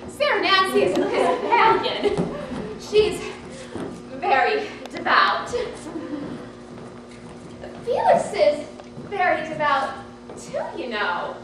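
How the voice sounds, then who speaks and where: An actress playing a bossy, chatty middle-aged aunt in a live stage comedy, gushing and coaxing theatrically. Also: female voice, very high voice, huge pitch swings, slow, pauses often, heavily acted. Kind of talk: live one-act stage comedy acting. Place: indoor stage, recorded from afar. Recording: echoey noisy hall, distant mic.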